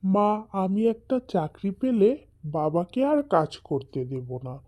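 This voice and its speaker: A woman's voice: mid-range voice, very animated, measured pace, a little mellow. Woman voicing an earnest, dutiful young son. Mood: earnest, hopeful. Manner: earnest, affectionate.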